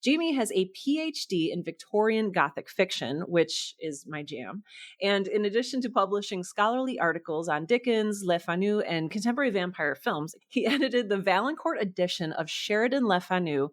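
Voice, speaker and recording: Female podcast host, medium pitch, enthusiastic and chatty interviewer. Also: animated, moderate pace. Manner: effusive, chatty.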